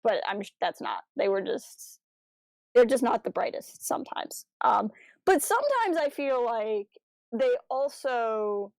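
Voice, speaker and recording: Higher-pitched woman podcaster, dry close sound. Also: big pitch swings, measured pace, a little mellow, no room sound. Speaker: podcast co-host. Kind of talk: casual discussion of a fan audio drama review.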